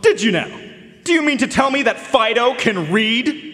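A man's voice: very high-pitched, animated, moderate pace, sharp tone, a little hiss or hum, heavily acted. A man playing a haughty, sneering suitor in a live radio drama, with pompous theatrical delivery. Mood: scornful. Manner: pompous, theatrical, florid insults. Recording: long hall reverb, music behind.

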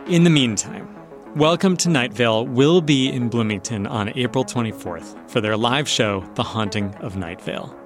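A man's voice: mid-range voice, moderate pace. Male radio host over faint music. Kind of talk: scripted radio narration.

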